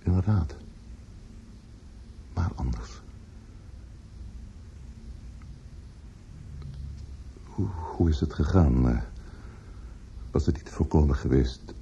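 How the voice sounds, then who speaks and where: An actor in a radio play playing a defensive, grieving older man, earnest and halting, often repeating words. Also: rumbling low, creaky, dark tone, exaggerated voice. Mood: troubled. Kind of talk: Dutch radio play acting. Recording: dull, noisy old radio recording.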